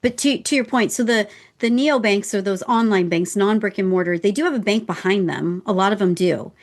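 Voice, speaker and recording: A woman's voice: medium pitch, fairly quick. A woman co-hosting a casual podcast, explaining things as she thinks aloud. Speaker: podcast co-host.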